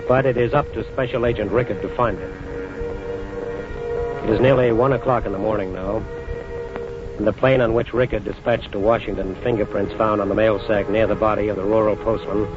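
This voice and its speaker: Low, solemn old-radio narrator, music behind. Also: male voice. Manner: solemn, formal. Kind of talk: scripted 1940s radio crime narration. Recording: dull 1940s radio recording with music.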